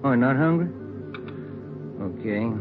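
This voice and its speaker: A man plays an easygoing detective partner in an old radio crime drama. He speaks in a plain conversational voice of medium pitch, through a thin, narrow vintage recording. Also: lively intonation, unhurried, over music, lightly acted. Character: male police detective partner, easygoing but pointed.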